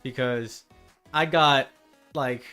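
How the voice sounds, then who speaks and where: A man chatting casually and rambling as he streams a video game, with an animated, amused manner. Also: medium pitch, slow pace, clean tone. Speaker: live streamer. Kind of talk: casual gaming stream chat. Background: video game music.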